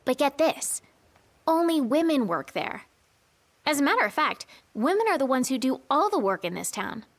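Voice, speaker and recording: Friendly, teasing teenage girl for a game cutscene, bright-spirited with animated, higher pitch. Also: normal speed. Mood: playful, upbeat. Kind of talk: video game cutscene acting.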